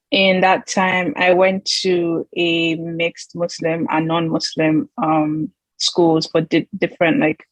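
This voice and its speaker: A young woman from Nigeria introduces herself on a video call panel, her voice low and level with little rise or fall. She talks thoughtfully, pausing to find her words, and the sound is close and dry with no room around it. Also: creaky, no room sound, low-quality recording.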